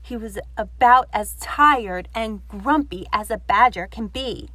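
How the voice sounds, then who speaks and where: A woman storyteller reading a children's picture book aloud in an expressive narrating style. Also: fairly high pitch, takes it slowly, dry recording.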